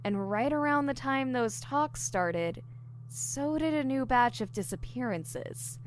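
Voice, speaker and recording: A woman's voice: high voice, lively intonation, takes it slowly. Earnest female investigator, voiced by a woman, noisy recording. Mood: assured, explanatory. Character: eager, diligent newly hired young female investigator. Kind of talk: audio drama dialogue, explaining case theories.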